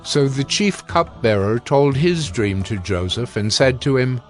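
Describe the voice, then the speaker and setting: A male narrator with a medium-pitched voice reads scripture. His delivery is solemn and measured, with music playing underneath. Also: lively intonation.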